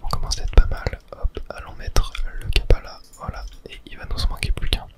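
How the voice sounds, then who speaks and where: Someone whispering softly and breathily, thinking aloud in a casual ASMR gameplay commentary. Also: fairly quick. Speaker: video game streamer narrating play.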